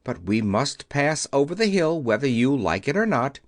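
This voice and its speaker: A man's voice: mid-range voice, sing-song, normal speed. A man voicing a polite, determined scarecrow in a children's storybook, earnest and insistent.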